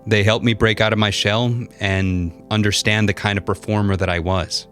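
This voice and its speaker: A man narrating a personal story as a voice actor, in an expressive, dramatic storyteller's delivery. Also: on the low side, moderate pace. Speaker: voice actor and content creator. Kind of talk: personal storytelling with numbered lessons. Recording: music behind the voice. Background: background music.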